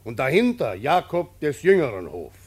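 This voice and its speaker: Solemn old peasant patriarch, sweeping intonation. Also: male voice, medium pitch, wildly swooping pitch, a little mellow, breathy edge, heavily acted. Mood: solemn, decreeing. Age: older. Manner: weighty, halting, declamatory phrasing. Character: old peasant patriarch, solemn, plain-spoken father and grandfather. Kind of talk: radio play acting.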